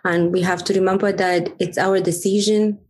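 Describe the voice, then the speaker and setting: A middle-aged woman with a Romanian accent speaks as a podcast guest. She is earnest and thoughtful, often pausing and restarting as she picks her words. Her pitch sits in the middle range. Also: little pitch movement, darkish tone, slight background noise. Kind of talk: reflective conversation.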